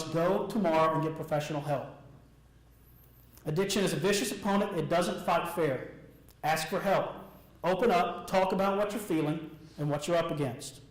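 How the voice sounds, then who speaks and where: A man delivering a prepared, earnest motivational speech to an audience in a deliberate way, with short emphatic phrases and pauses. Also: high-pitched. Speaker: public speaker. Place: indoor room before an audience. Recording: roomy echo of a speech venue.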